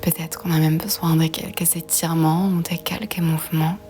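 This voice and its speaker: A woman guiding a relaxation meditation in a soft, breathy, half-whispered voice, soothing and gentle. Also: low-pitched, little pitch movement, moderate pace, soft background music. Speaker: yoga nidra guide.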